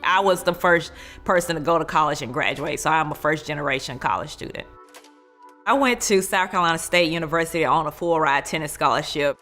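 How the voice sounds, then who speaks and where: Low, bright-toned woman, earnest interviewee. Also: fairly quick, soft background music. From United States. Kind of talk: documentary interview.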